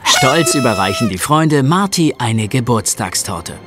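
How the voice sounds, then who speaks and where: Man, children's audio play narrator, medium pitch, animated, soft music behind. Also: a little slow.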